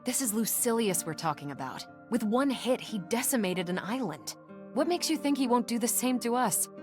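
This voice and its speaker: A woman playing an earnest, cautious skyfarer in a video game, with a serious, measured delivery. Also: a little high, animated, close to an everyday voice. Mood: worried. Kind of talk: scripted video game dialogue. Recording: orchestral music behind the voice.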